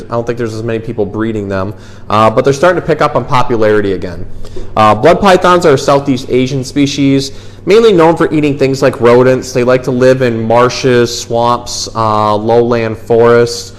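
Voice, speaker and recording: A man's voice: mid-range voice, rough recording. A man with a mid-range voice presents as a zookeeper. He talks casually and explains things in an informal, educational way, as in an animal show with storytime.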